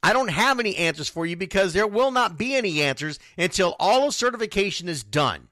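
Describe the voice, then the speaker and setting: Animated, high-pitched man hosting sports talk. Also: fairly quick, no echo, studio quality. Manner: blunt, emphatic, repeats phrases for stress. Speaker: sports radio show host. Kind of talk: unscripted sports talk, answering listeners' comments.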